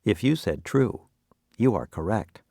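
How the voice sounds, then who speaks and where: Man, lower voice, instructional quiz narration, deliberate phrasing, very expressive intonation. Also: vocal fry, dry recording, studio quality. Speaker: lesson quiz presenter. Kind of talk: scripted educational quiz narration.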